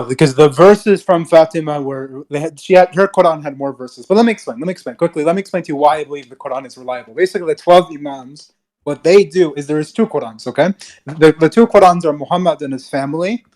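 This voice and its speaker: Young man with a slightly high voice, rambling. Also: darkish tone. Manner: hesitant, rambling explanations with restarts. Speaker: caller. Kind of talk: debate over video call.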